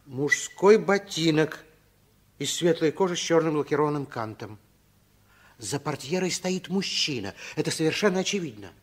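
A man narrating a children's radio drama as a storyteller, in a hushed, suspenseful way. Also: fairly high pitch, lively intonation, moderate pace.

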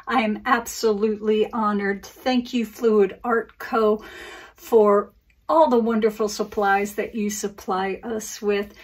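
A woman's voice: mid-range voice, steady tone, slow pace, recorded from a distance. A middle-aged woman hosting a casual acrylic pour painting video, chatting enthusiastically to viewers about her colors at her studio table. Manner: gushing and enthusiastic, calls viewers guys. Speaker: acrylic pour artist and channel host. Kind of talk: casual craft tutorial chat to viewers.

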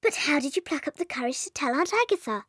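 Very high-pitched British little girl. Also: lively intonation, fast talker, a bit bright, no room sound, studio quality. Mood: curious. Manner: eager, questioning, precocious. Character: bright, earnest, curious little schoolgirl, precocious and caring. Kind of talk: dramatised children's audiobook.

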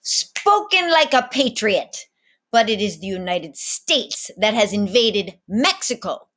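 A woman's voice: medium pitch, very animated, slow pace, a bit bright, voice pushed up, heavily acted. A woman voicing a tall, proud male ship captain who talks in a grand, bookish way. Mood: grave.

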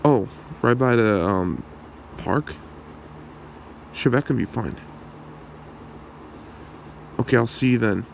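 A man's voice: mid-range voice, creaky, slight background noise. A man playing a shy, awkward young man smitten with his friend, speaking hesitantly in an ASMR roleplay. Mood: shy, friendly. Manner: hesitant, halting, soft-spoken.

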